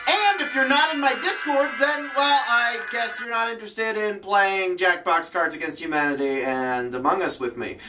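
A man gives a comic plug for his channel in a very high-pitched, theatrical voice. He sits a little away from the mic, with music playing behind. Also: moderate pace, hardly any pauses, far from the mic. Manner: theatrical, jokey. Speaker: streamer and voice actor promoting own channel. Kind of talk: comic promotional chat to camera. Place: small room at home.

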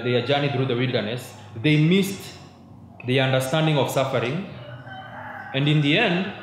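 A young man with a Kenyan accent giving a conversational youth sermon at a pulpit, holding a handheld mic close and often checking in with his listeners. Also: medium pitch. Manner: mixes in Swahili, keeps checking in with "yeah?". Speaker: youth preacher. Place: church hall, at a pulpit. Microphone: handheld mic held close. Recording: echoey church room, faint background hum.

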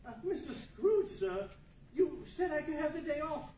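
A man performs a meek, astonished clerk in a live radio play. He speaks slowly and hesitantly, with deference. The sound is echoey and noisy, picked up from far away. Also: very high voice, animated, warm tone. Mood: flustered. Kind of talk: 1940s radio play acting before an audience. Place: large indoor meeting room, live stage reading. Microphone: far camera.

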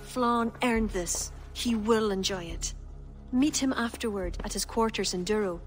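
An actress playing a brash, teasing young Irish warrior poetess in a game cutscene, with a wry, playful, theatrical manner. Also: female voice, mid-range voice, measured pace, faint music behind, natural voice. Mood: firm, arch.

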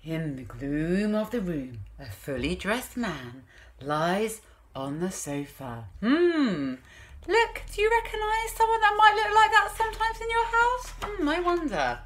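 A woman in her thirties presents a playful read-aloud as a vlogger at home. Her voice is bright and crisp-edged, with highly animated pitch. She leans into words with theatrical emphasis and drops into chatty asides. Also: measured pace. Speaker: parenting vlogger, host. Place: small room at home.

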